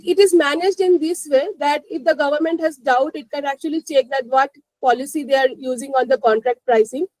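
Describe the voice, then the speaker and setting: Webinar instructor: Indian-accented woman with a high-pitched voice, explaining conversationally, recorded dry. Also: darkish tone, dry recording, low-quality recording. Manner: repeats 'you know, like' and checks understanding. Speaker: presenter of a finance webinar. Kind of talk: live explanatory lesson.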